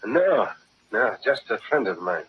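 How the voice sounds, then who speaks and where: A man's voice: fairly low pitch, very animated, a little slow, breathy edge, exaggerated voice. An actor playing a bossy, scheming crooked businessman in a 1940s-style radio drama. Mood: slick, persuasive. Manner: bossy, pushy. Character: scheming, blustering crooked businessman pushing a bus franchise. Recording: muffled, noisy old radio recording.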